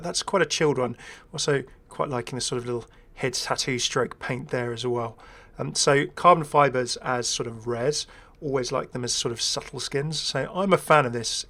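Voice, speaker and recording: A man's voice: fairly high pitch, fairly bright tone. A man giving casual, rambling first-impressions commentary as a gaming video creator, chatty, enthusiastic and self-deprecating.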